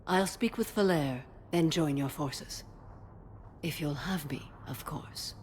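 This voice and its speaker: An actress playing a stern, ageless alien warrior-nun and mother in a video game cutscene, speaking in a solemn, measured, formal way. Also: low-pitched, darkish tone, a little hiss or hum. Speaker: justicar. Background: orchestral score.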